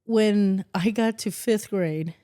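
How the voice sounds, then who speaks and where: A middle-aged woman from the Philippines chatting on a podcast. Her voice is mellow and warm at a middling pitch. She tells stories in an animated way, acts out what people said and swears casually. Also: slow. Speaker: guest, host's mother.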